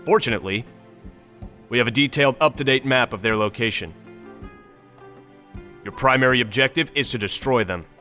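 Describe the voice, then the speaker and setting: Military briefer, male actor as stern American officer: clipped, authoritative, sharp-edged delivery, music underneath. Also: medium pitch, moderate pace, long pauses, close to an everyday voice. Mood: stern, businesslike. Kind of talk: scripted video game mission briefing.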